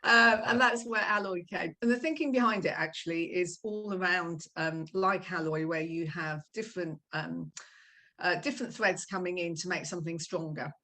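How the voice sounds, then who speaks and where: A middle-aged woman being interviewed, talking casually with many pauses and filler sounds. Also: on the low side, sharp tone. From Iran. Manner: hesitant, many ums, laughs easily. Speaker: guest.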